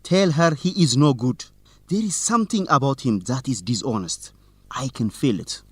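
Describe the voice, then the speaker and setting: A man's voice: medium pitch, expressive, moderate pace, a little mellow, slightly rough, dry recording. An actor playing a determined, teasing young male student reporter in an educational radio drama, in plain, simple sentences. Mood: determined, suspicious.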